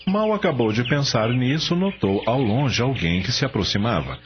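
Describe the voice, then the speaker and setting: A man's voice: mid-range voice, expressive, moderate pace, close to an everyday voice. A man with a Brazilian accent narrating a scripted radio drama in a storytelling style. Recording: dull lo-fi radio, clearly noisy.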